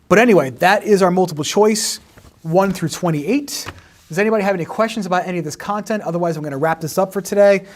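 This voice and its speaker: Male teacher, 40s, slightly hoarse, higher-pitched, patient livestream explainer. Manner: explanatory, peppers sentences with "right?". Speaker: history teacher. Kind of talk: livestream exam review lesson. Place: home in the suburbs.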